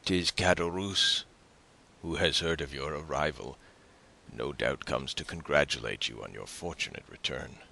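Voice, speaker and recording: A man voicing a tender, cautious old father in an audiobook, gentle and halting. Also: very deep voice, vocal fry, exaggerated voice. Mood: pleased. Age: older.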